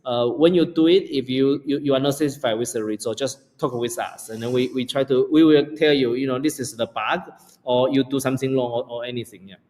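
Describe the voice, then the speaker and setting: A man's voice: mid-range voice, fairly even intonation, a little mellow. Male founder, English, stop-start answers, reverberant room. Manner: halting, repeats words, many fillers. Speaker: company founder, guest. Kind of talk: casual interview answers.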